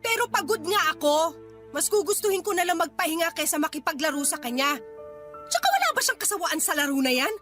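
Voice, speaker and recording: Radio drama casting: an irritable working mother, very high, bright and forceful, scolding and dismissive. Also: sing-song, fast, heavily acted. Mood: weary, irritated. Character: irritable, tired working mother scolding her child's nanny. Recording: music bed behind the voice.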